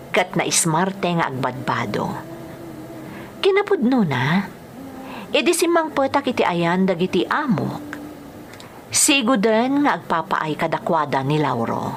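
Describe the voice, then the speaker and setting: An emotional Filipina migrant maid, swooping pitch. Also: female voice. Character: Helen, emotional Filipina domestic worker abroad. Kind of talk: Ilocano radio drama acting.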